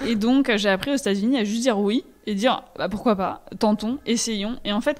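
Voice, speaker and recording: A woman's voice: medium pitch, a little mellow. Candid young Frenchwoman, searching for words. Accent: French from France. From France. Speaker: interview guest. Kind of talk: casual conversational interview, personal account.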